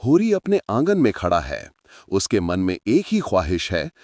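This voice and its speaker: Guy telling a bedtime-style story, lowish voice that swings up and down a lot, dry close sound. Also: huge pitch swings, normal speed, a little mellow, no room sound. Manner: dramatic, addresses listeners as friends. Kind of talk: scripted story narration.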